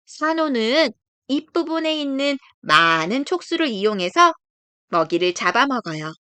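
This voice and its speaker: A woman reading a children's nature picture book aloud, explaining gently to young listeners. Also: high-pitched, very animated, normal speed, clean tone, no echo.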